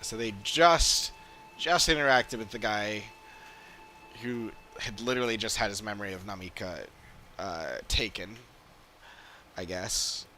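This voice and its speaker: A man's voice: fairly low pitch, measured pace, pauses often, pushed and bright, a little hiss or hum. A man doing casual livestream commentary as he plays a game, musing and rambling as he thinks aloud. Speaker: game streamer.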